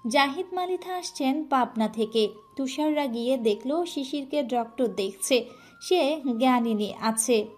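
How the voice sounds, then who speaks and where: A woman's voice: high voice, lively intonation, measured pace, a little mellow. A woman narrating a romantic family story in an emotional, earnest style.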